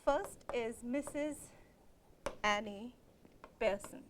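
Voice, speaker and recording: A woman's voice: a little high, slow pace, background noise. A woman lecturer explaining a play to students, speaking in an explanatory way with frequent "uh, you know" fillers, whose first language is Kashmiri. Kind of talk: recorded literature lecture.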